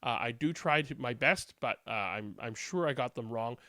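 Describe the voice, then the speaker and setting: A man's voice: medium pitch, animated, moderate pace, pushed and bright, no echo, studio quality. A man hosting a read-aloud, reading picture books expressively and commenting informally. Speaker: read-aloud host and storyteller.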